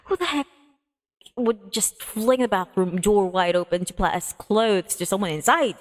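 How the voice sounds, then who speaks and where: A woman's voice: on the high side, big-room sound. A woman voicing an exasperated, easily embarrassed young man with whiny, indignant outbursts in a visual novel. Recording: music playing behind the voice.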